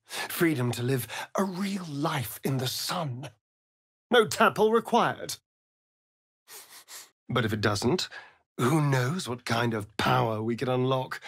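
A man's voice: medium pitch, expressive, slow, a bit bright, dry recording, studio quality, exaggerated voice. A male actor with an English accent voicing a sardonic, seductive, vain vampire rogue in a video game, with an arch, flamboyant drawl. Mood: brooding, earnest. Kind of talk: theatrical video game dialogue.

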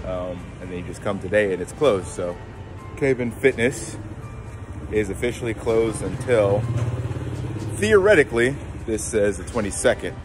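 Man, thirties, low voice, casual vlog talk, phone at arm's length, music underneath. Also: unhurried. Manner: conversational, relaxed, filler-heavy. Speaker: fitness vlogger. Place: outdoors on a city street, then indoors at home. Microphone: phone held at arm's length, wireless earbuds.

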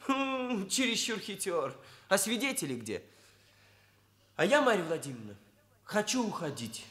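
High-voiced earnest young man. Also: sing-song, normal speed, a bit bright. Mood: indignant. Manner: stiffly formal, bookish phrasing. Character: earnest, proud young male hairdresser, naively bookish. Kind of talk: Soviet radio drama acting.

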